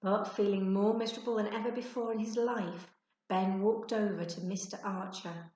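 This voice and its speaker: A woman giving an expressive children's storybook narration, like a teacher reading to her class. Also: medium pitch, normal speed, muffled recording. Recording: echoey untreated room.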